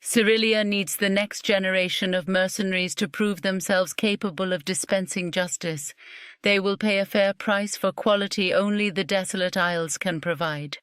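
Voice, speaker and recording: A woman's voice: mid-range voice, fairly even intonation, moderate pace, a bit bright, clean tone, no echo, clean studio sound, natural voice. A woman playing a formal, authoritative queen and mother in a fantasy audiobook. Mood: stately, firm. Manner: formal, commanding. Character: authoritative queen and mother, middle-aged, instructing her son. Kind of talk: audiobook character acting.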